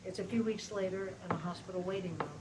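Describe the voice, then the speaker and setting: A woman narrates stage directions from a script in a fairly low voice. The delivery is scripted narration, read aloud at a table read. There is clear noise around her in a live room recording. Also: fast.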